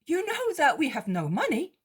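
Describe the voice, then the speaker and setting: Woman doing a loud, high, protective disciple guy, blurts things out. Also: huge pitch swings, moderate pace, full character voice. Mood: exclaiming. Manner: exclaiming.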